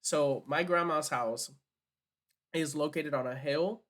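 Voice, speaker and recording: Guy cohosting a casual podcast, bit higher voice, sweary and slangy, does the dialogue as he tells stuff. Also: slow pace, a bit bright. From Puerto Rico. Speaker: podcast co-host. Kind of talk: casual chat.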